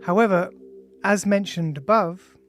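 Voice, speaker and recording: Audiobook author, androgynous mid-pitched voice, measured science reading, clear music bed underneath. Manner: measured, reads punctuation aloud. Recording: music behind the voice. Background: ambient music with bell-like tones.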